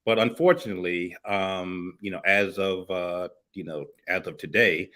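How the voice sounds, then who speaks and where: A middle-aged man from Miami with a very low, forceful and bright voice. He co-hosts a casual morning panel, pausing often on "uh" and restarting his sentences. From United States, Miami. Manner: halting, many "uh" fillers and restarts. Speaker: morning show co-host.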